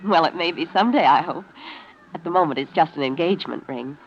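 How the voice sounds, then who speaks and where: An actress playing a playful, smitten young fiancee with a wry edge, in 1940s radio drama style. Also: female voice, sits low, animated, quick pace. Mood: wryly polite. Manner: bantering, wry. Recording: muffled noisy old radio recording.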